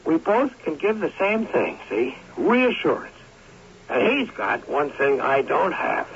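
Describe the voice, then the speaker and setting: Bitter, gravelly washed-up sports star. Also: male voice, fairly high pitch, sing-song, measured pace, full character voice. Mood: brooding, haunted. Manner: theatrical, brooding, emphatic. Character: bitter, weary former college sports star turned adman. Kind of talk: old-time radio drama acting. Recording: muffled, hissy old radio recording.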